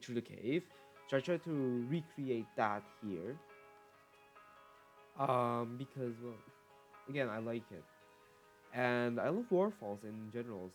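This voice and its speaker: A man's voice: on the low side, darkish tone. A man with a stuffy nose from a cold, chatting casually and correcting himself as he talks through a game build for his viewers. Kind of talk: casual gameplay commentary. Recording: faint music behind voice.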